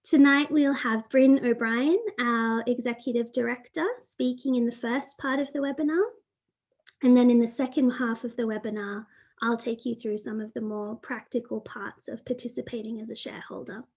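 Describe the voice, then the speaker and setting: A woman's voice: on the high side, fairly even intonation, moderate pace, a little mellow. A woman hosting a webinar, introducing the speaker and running through housekeeping in a friendly, organised way. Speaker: webinar host.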